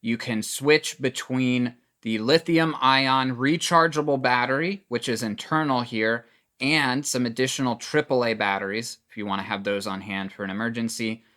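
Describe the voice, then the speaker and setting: A man's voice: medium pitch, fairly even intonation, normal speed, bright, forceful tone. Plain-spoken man reviewing a product. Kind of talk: casual product review demonstration.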